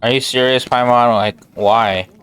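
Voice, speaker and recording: A man's voice: medium pitch, fairly even intonation, unhurried, a bit bright. A man giving casual, offhand live reactions as he streams a video game. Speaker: game livestreamer.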